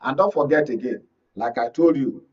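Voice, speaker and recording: Husky Nigerian man, emphatic political analyst. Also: medium pitch, normal speed. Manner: emphatic, repeats points, checks listener follows. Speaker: media analyst and guest. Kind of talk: political analysis in a studio discussion. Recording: muffled, distant mic, lo-fi sound.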